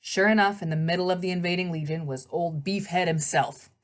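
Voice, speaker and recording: A woman's voice: lowish voice, fairly quick. A woman reading a children's novel aloud as narrator, in scripted storybook narration.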